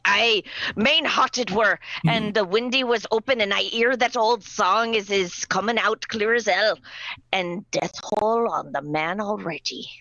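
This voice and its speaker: A woman's voice: medium pitch, a little slow, sharp tone, no room sound. A woman playing a superstitious, fearful pirate crewmate in a radio play, with rustic old-salt speech, on a slightly dull recording. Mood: grim, uneasy. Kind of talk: live radio play acting.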